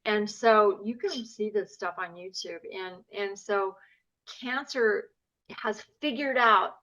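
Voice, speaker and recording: A woman's voice: mid-range voice, slow pace. Chatty woman telling a story, muffled audio. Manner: animated, talks in long runs with filler words. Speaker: patient's wife, podcast guest. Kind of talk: casual storytelling.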